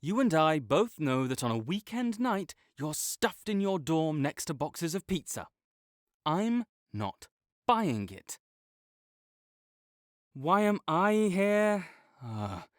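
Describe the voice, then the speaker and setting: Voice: medium pitch, big pitch swings, slow, no echo, clean studio sound. A young adult performer voicing a sarcastic, condescending academic rival with a slight tsundere streak, teasing throughout. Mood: annoyed teasing. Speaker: audio roleplay performer. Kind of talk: scripted audio roleplay acting.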